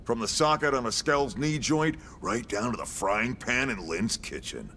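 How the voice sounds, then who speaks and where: An actor playing a sardonic, folksy military commander in a video game cutscene. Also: male voice, medium pitch, expressive, normal speed, pushed and bright, slight background noise. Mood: wry, expository. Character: gruff, wisecracking male military commander. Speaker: commanding officer briefing recruits.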